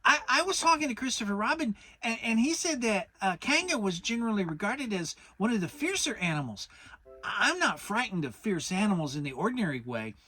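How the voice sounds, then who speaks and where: A timid little storybook piglet, voiced by a man, high and bright. He frets and stammers, fidgeting through his words as the pitch jumps about. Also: sing-song, fairly quick, exaggerated voice. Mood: nervous, fretful. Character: small, timid, fretful male piglet.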